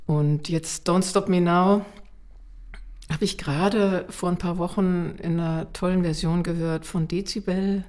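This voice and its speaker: A middle-aged woman from Munich, chatty and expressive, telling personal stories as an interview guest. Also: lowish voice, measured pace. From Munich, Germany. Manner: chatty, expressive, acts out remembered remarks. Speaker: interview guest, children's songwriter and singer. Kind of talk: animated personal storytelling.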